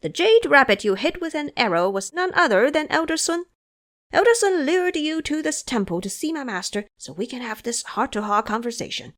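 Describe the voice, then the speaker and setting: A woman voicing a cocky, quick-witted male monkey disciple in an audio drama, brash and persuasive. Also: sits high, very animated, fairly bright tone, pitched above the performer's own voice. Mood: earnest, animated. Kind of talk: audio drama character acting.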